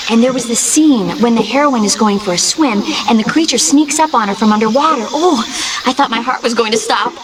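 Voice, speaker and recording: A woman's voice: fairly high pitch, a little fast, background noise, natural voice. An actress playing a caring, teasing young woman paramedic who gives earnest advice over radio support in a video game. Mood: excited storytelling. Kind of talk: video game voice acting, scripted radio conversation.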